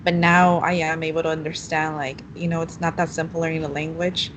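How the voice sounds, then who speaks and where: Young student teacher, spanish first language, lowish voice, says like a lot, hissy recording. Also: female voice, little pitch movement, fairly quick. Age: young adult. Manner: chatty, heavy use of "like". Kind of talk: casual class discussion.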